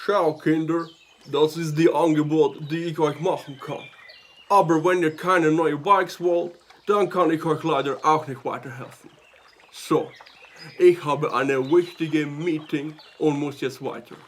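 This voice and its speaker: A man's voice: on the high side, fairly even intonation, unhurried. A man playing a slick, sweet-talking businessman in a children's audio drama, with an American accent in Swiss German and English words mixed in. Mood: dismissive. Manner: smarmy, mixes in English words.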